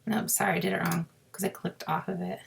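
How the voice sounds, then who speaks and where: A woman with a sore, scratchy throat, explaining a crafting software task step by step in a chatty way and muttering to herself as she clicks. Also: lowish voice, flat delivery, fast talker. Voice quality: raspy with creaky fry. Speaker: crafting tutorial host. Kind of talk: screen-recorded software walkthrough.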